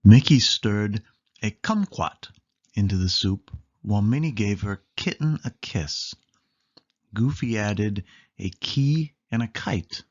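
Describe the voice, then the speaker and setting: A man reads a children's story slowly, with lively swings in pitch. He stresses key words emphatically, and the recording is a little dull. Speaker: storybook reader. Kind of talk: children's read-aloud narration.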